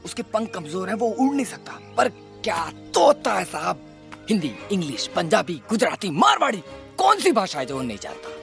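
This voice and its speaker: A man voicing a forgetful, muddled bird in an animated comedy, dithering and repeating himself. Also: high voice, normal speed, gravelly, faint music behind, heavily acted. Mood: informative, admiring. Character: scatterbrained, forgetful male bird, comic uncle. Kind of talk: animated film comic voice acting.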